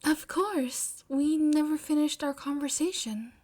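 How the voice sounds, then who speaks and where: A woman voicing a polite, bashful teenage girl in love, soft and hesitant, in a fan fiction audio reading. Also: sits high, a little slow, pitched above the performer's own voice. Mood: happy, tender.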